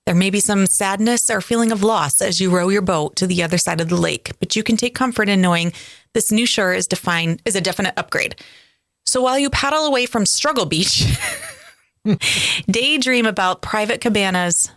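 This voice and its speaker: A woman's voice: mid-range voice, moderate pace, a bit bright, no room sound, clean studio sound. A woman in her forties co-hosting a podcast, chatting casually and reading tarot card meanings with playful comic asides. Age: 40s. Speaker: podcast co-host.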